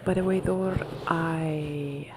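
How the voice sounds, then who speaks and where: Young woman hosting an ASMR stream: soft-spoken and whispery, leisurely pace, heavy noise behind her. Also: low-pitched, takes it slowly. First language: Italian. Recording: loud steady background noise.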